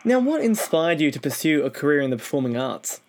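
A man's voice: a little high, big pitch swings, fairly quick, background noise. Man hosting an interview podcast.

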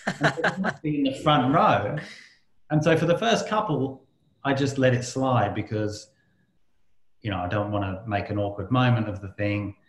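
A man's voice: mid-range voice, moderate pace, roomy sound. A man hosting a podcast interview, chatting loosely, telling stories with a laugh and some swearing. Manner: storytelling, swears freely, laughs while talking. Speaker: podcast host. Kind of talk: casual interview chat.

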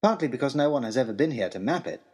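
Male storyteller for period adventure diary: expressive, formal diction, very lively intonation, slightly rough-edged tone. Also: medium pitch, racing. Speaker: storyteller, first-person narrator. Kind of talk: scripted adventure-journal narration.

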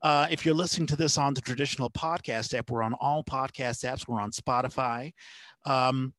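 A man hosting a casual podcast, talking in a conversational, halting way. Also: medium pitch, steady tone, a bit bright, no echo. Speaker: podcast host.

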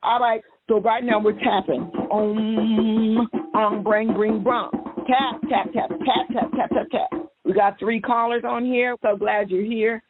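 A woman's voice: a little high, fairly even intonation, slow. A woman hosting a psychic call-in radio show in a folksy, sing-song way, repeating her phrases. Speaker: radio psychic host taking callers. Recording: tinny phone-like radio feed, music behind.

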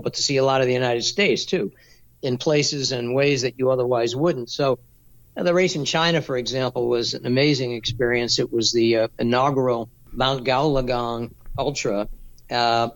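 Man, mid pitch, measured and explanatory guest in a casual interview. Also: poor audio. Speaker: race director.

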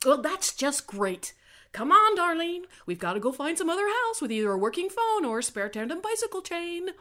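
A woman's voice: extremely high pitch, big pitch swings, moderate pace, sharp tone, heavily acted. A woman playing a blunt, easily irritated, sarcastic young tomboy in a comic radio play. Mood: exasperated. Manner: blunt, defensive, gruffly sarcastic.

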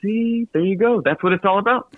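An American man from Virginia, a guest author telling stories casually in an interview, chatty, halting and self-deprecating. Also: high-pitched. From Virginia, United States. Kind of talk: casual storytelling in a radio interview. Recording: tinny phone-like line with some room echo.

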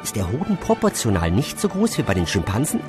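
A bookish heavenly lab assistant in a comic radio play. He is pedantic and quick to squabble, mid-pitched with huge swings of intonation, over music. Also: huge pitch swings. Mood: smug lecturing. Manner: lecturing, pedantic. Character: know-it-all male heavenly research assistant, earnest, pedantic, squabbling. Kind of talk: comedy radio play acting.

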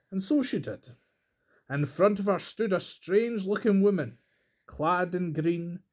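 A male storyteller narrates a traditional tale from a script. His voice is pitched a little high for a man, and he reads it as a storybook narration. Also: darkish tone.

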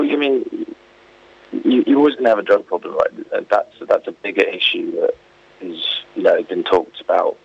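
A man's voice: low-pitched, creaky, breathy edge, no room sound. A man being interviewed on the radio, talking informally and giving his opinions in a rambling way peppered with filler phrases. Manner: informal, opinionated, rambling with frequent "you know" and "like". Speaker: interview guest. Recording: dull, lo-fi phone-like radio link.

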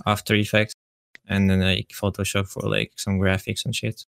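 Low, flat-voiced Polish man. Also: normal speed, creaky, no echo, studio quality. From Poland. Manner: relaxed, hesitant, filler-heavy. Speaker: guest. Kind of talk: casual podcast chat.

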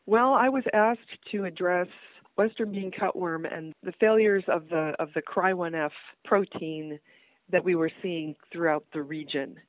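A middle-aged woman with a cold talks as a guest on a tinny phone line. She explains her field research in a brisk, practical way, filling pauses with "uh" and "kind of." The sound is dry and close, with no room around it. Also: fairly low pitch, no echo. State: has a cold. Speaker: guest, university entomologist. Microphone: phone call.

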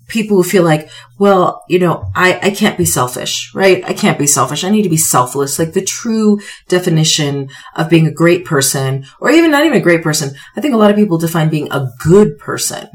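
A woman in her forties with a slightly hoarse voice, giving an emphatic, conversational motivational talk as a podcast host, with pointed pauses and rhetorical questions. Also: fairly low pitch, lively intonation. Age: 40s. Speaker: podcast host, self-help coach.

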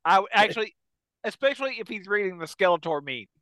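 A middle-aged man co-hosting a casual comedy podcast, joking in an animated way. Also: sits high, fast, clear, resonant tone, no echo. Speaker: podcast co-host. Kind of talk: casual comedic group chat.

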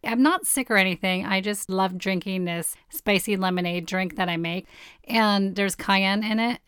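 A woman in her forties with a hoarse, partly lost voice, hosting a personal storytelling podcast in a candid, blunt way. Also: mid-range voice, normal speed, fairly bright tone, no room sound, clean studio sound. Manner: candid, blunt, swears casually. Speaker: podcast host.

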